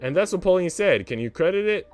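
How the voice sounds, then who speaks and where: Visual novel role: passionate young female maid, indignant and questioning, lively melodic delivery, acted by a man. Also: high voice, fast, faint music behind, voice pushed up.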